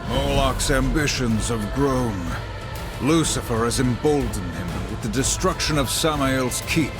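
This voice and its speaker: A man's voice: mid-range voice, natural voice. An actor playing a grim, brooding horseman warrior in a video game, speaking in a stern, formal way. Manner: stern, measured, formal speech. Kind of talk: dramatic video game cutscene acting. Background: loud cinematic music.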